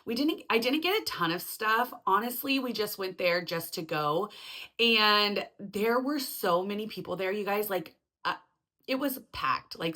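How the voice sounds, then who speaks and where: A woman hosting a casual home vlog, chatty and upbeat with lots of "like" fillers, her voice slightly hoarse from being sick. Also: medium pitch, pushed and bright. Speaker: vlog host.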